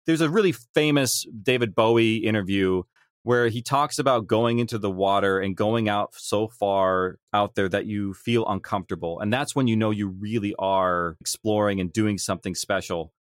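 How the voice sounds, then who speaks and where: A man speaks as an interview guest in casual, reflective style. His voice sits at an ordinary male pitch, and the sound is close and dry with very little room around it. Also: normal speed, dry recording. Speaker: interview guest, audio drama creator.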